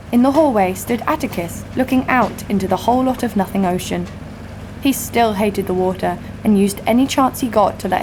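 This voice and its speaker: A woman's voice: medium pitch, background noise, natural voice. A woman playing a wry, adventurous young ship's guard who narrates her own story with a sardonic touch in a scripted audio drama. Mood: playful, sardonic.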